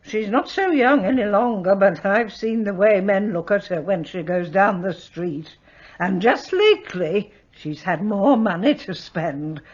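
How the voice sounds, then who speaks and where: Old servant woman, grudging and gossipy, husky. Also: medium pitch, animated, measured pace. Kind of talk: radio drama acting. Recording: dull studio audio play.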